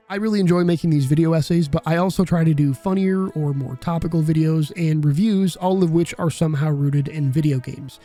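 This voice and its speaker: A young man in his twenties narrates a video essay in an earnest, contemplative voice-over. His voice sits a little high for a man, with a mellow, dark tone. Faint music plays underneath. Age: 20s. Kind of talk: reflective scripted narration.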